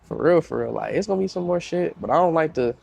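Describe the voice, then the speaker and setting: A young man with a congested, stuffed-up voice, talking as a guest producer in a loose podcast chat. He sits a little high in pitch and leans into slang, circling back and repeating phrases as his stories pick up speed. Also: fast, gravelly. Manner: slangy, repeats himself, lively storytelling. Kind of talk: casual podcast interview.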